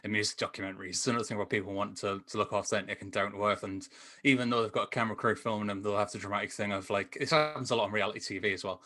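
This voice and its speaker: A man's voice: fairly low pitch, fairly even intonation, rapid-fire, bright, forceful tone. A man chatting casually about films as a panelist, rambling and enthusiastic. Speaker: podcast panelist reviewing films. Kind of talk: casual film discussion chat. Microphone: remote call microphone.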